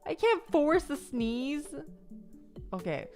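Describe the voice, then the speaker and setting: A woman's voice: high-pitched, very animated, takes it slowly, a little mellow, faint music behind. Giggly rambling woman streamer. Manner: rambling, giggly, lists things with many ums. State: stuffed up after a missed sneeze. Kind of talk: casual stream chat while building a model kit. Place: home gaming room with sound panels.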